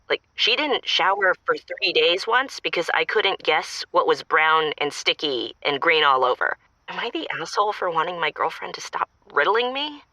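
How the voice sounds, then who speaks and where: An exasperated woman calling in about her relationship. Her voice sits a little low but cuts through brightly, and she tells things chattily and expressively in a dry, close recording. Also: dry recording, highs cut off. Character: exasperated, animated girlfriend phoning for relationship advice. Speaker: caller to advice show.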